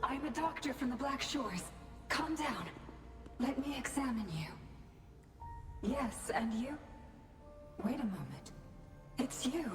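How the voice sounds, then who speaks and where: A woman playing a gentle, earnest young doctor in video game story dialogue, with a reassuring manner. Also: sits high, animated, slow pace, pauses often. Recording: echoing game audio under prominent music. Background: prominent game music.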